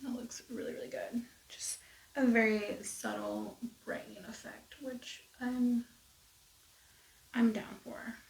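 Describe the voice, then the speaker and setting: A woman losing her voice, chatting casually and rambling through a makeup tutorial with soft near-whispered asides. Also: fairly high pitch, flat delivery, slow, vocal fry, roomy sound, poor audio. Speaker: beauty vlogger. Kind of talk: casual get-ready-with-me makeup chat. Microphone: close mic at desk.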